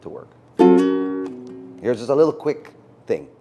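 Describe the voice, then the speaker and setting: A middle-aged man, a physician guest, answering interview questions in an earnest, halting way with many fillers. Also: mid-range voice, very animated, loud music behind the voice. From United States. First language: Macedonian.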